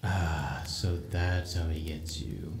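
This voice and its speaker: Man acting a hapless young wanderer, slow-paced. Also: a bass voice, steady tone, a little mellow, breathy edge, full character voice. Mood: weary, sheepish. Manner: hesitant, stammering, slangy. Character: hapless, earnest young male wanderer and failed bandit. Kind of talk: manga comic dub acting. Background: music under the dialogue.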